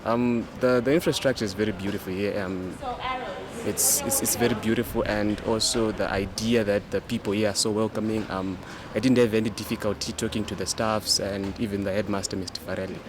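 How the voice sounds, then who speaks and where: A man's voice: lowish voice, fairly even intonation, brisk, crowd noise. An eighteen-year-old man with a Zimbabwean accent, a new student giving informal interview answers. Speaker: new sixth-form student being interviewed. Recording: clear outdoor background noise.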